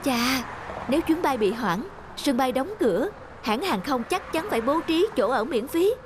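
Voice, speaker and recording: Woman doing a high-pitched weary young man. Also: expressive, normal speed, noisy background. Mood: scheming. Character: sly, weary young male photographer who longs for sleep. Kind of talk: cartoon dub.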